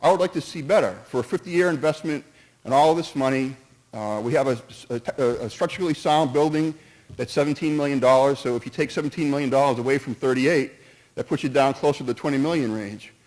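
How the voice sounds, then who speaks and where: A man's voice: medium pitch, a little fast, a little mellow, poor audio. A man speaking at a town meeting, earnest and cautious, who stumbles, restarts and jumps between points while giving his opinion. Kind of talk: public comment at a town meeting.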